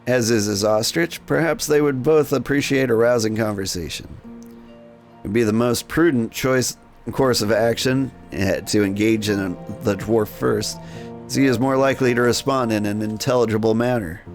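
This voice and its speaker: A man's voice: mid-range voice, moderate pace, soft background music, natural voice. A man voicing a polite, naive robot in a video game, speaking in formal, precise wording. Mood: observing. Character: polite, naive, literal-minded robot seeking work. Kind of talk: character voice in a game playthrough.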